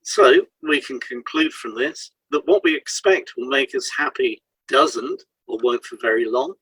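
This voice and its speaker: A man's voice: fairly high pitch, wildly swooping pitch, measured pace, gravelly, fairly bright tone, husky, dry recording, rough recording. A man reading a scripted educational piece aloud as a podcast co-host.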